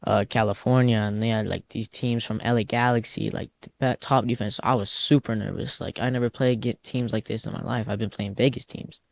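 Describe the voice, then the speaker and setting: Low, gravelly teenage guy. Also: fairly even intonation, a little fast, hardly any pauses. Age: teens. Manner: loose, rambling, lots of "like" fillers. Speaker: youth soccer player, guest. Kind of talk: casual interview answers.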